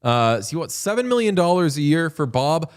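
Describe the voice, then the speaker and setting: A man's voice: fairly high pitch, sing-song, fairly quick, smooth. A man co-hosting a hockey podcast, chatting casually and joking around. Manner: joking, riffing, does silly voices of players. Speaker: podcast co-host. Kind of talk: casual sports chat.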